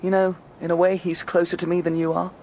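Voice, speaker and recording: Intense, high-pitched gambler over traffic noise. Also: male voice, level tone, fast, a little mellow, low-quality recording. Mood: goading, excited. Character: restless, thrill-seeking British gambler, intense and self-justifying. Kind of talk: BBC radio drama acting. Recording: clear background vehicle noise.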